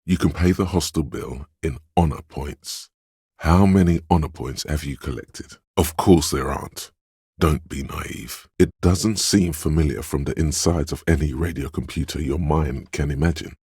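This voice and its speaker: A man voicing a cool, sly, goading inner voice in a video game, narrating in the second person with deliberate, savored words. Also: a little mellow, dry recording, clean studio sound, heavily acted. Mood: dry, musing. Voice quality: very deep, gravelly, husky.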